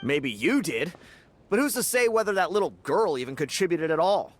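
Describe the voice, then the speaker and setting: A man's voice: a little high, big pitch swings, normal speed, a little hiss or hum. A sneering, jealous young man. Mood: mocking. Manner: mocking, dismissive. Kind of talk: game voice acting.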